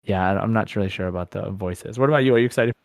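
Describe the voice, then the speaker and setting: A man's voice: lowish voice, racing, no echo, clean studio sound. A man hosting a gaming podcast, chatting casually and informally with a fan's enthusiasm. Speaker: podcast host.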